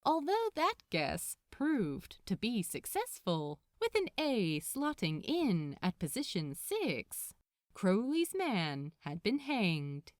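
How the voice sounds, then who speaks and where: A woman reading fan fiction aloud as an expressive story narrator. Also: medium pitch, no room sound, clean studio sound.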